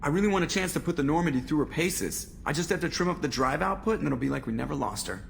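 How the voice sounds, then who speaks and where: A wisecracking space pilot, talks fast, creaky voice, sarcastic and cocky. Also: male voice, a little high, lively intonation, slight background noise. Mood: eager. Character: wisecracking, cocky male starship pilot, grumbly. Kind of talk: video game dialogue acting.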